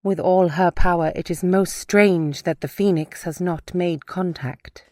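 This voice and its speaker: A woman's voice: on the low side, steady tone, unhurried, warm tone, dry recording, studio quality, close to an everyday voice. A woman playing a formal, composed alien noblewoman in an audio drama. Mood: concerned.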